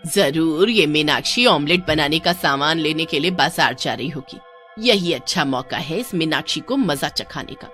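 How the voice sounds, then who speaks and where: A harsh old neighbor woman, voiced by a woman, spits threats in a loud, scolding voice, pitched a little lower than her usual. This is a cartoon story, with faint music underneath. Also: expressive, normal speed. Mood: scheming. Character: spiteful older neighbor woman, quarrelsome.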